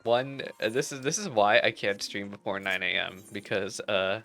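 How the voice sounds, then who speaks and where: A man's voice: on the low side, normal speed, bright, forceful tone. A sleepy man chatting casually and self-deprecatingly as he comments on a game he is streaming. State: sleepy, just woke up. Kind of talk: casual livestream commentary over gameplay. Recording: music behind the voice. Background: game music.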